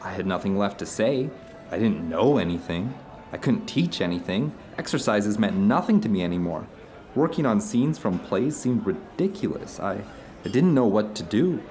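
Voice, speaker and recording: A man's voice: fairly low pitch, sing-song, moderate pace, a little mellow, faint music behind. A man playing an earnest theater director telling stories to an old friend in a conversational audio drama. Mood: reflective, earnest. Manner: storytelling, earnest, discursive. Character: animated theater director recounting his travels to an old friend.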